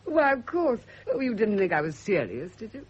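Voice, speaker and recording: Husky, brittle, proud British woman. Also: mid-range voice, big pitch swings, normal speed. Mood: brittle, mocking. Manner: arch, brittle, mocking. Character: jilted, proud, bitterly ironic well-bred woman. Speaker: actor in a radio play. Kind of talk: 1970s radio drama acting. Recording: dull, lo-fi old radio recording.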